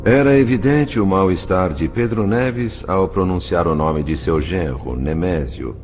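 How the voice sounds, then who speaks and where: An actor playing a courteous, observant male spirit who narrates a dramatized audiobook in a formal, measured storytelling manner. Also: low-pitched, darkish tone, soft background music. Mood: observant, composed.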